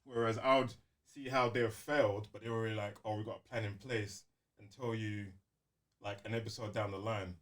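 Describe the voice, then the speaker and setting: A man's voice: on the low side, fairly even intonation, a little fast, fairly bright tone. Hesitant man in rambling casual chat. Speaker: podcast co-host.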